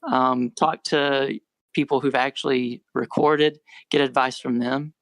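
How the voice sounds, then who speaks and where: Young american musician guy, quiet voice, loose rambly chat, dry mic. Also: medium pitch, unhurried, dry recording. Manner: rambling, self-correcting, relaxed. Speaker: musician guest. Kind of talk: casual podcast interview.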